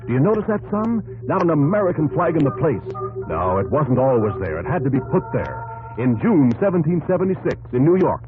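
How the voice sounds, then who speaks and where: A man's voice: a little high, very animated, brisk. A man acting as a solemn, fatherly storyteller, narrating a history drama earnestly in 1950s radio style. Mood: solemn, reverent. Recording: tinny 1950s radio broadcast. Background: loud dramatic music.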